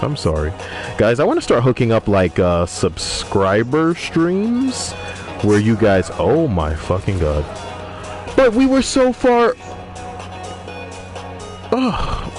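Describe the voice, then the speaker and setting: A young man streaming a game, reading viewer messages aloud and joking with crude, profane banter. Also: fairly low pitch. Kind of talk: casual gaming livestream chat. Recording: music playing behind voice.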